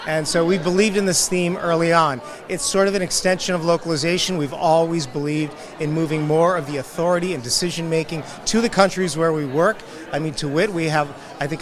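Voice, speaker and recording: Confident middle-aged man over crowd chatter. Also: on the high side. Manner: confident, earnest, measured. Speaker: guest, development company executive. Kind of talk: conference interview. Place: conference hall booth, indoors. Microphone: handheld mic held by interviewer.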